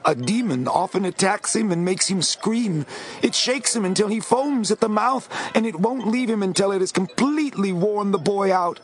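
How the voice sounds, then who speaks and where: High-voiced desperate pleading father. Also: expressive, moderate pace. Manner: pleading, anguished. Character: desperate father begging for his sick son. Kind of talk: audio Bible drama acting.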